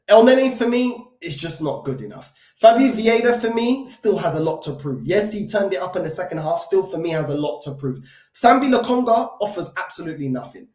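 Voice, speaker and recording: A man's voice: high-pitched, darkish tone. Frustrated London man in an echoey room. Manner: frustrated, emphatic, repeats himself with many fillers and restarts. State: recovering from illness, voice not at full strength. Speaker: football fan channel host. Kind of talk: solo fan reaction commentary.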